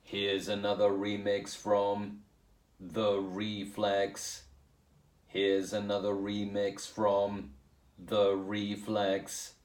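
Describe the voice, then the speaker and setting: A man playing a robotic radio announcer with stiff, drawn-out, deliberate delivery. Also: on the low side, completely flat delivery, darkish tone. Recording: roomy echo with noise.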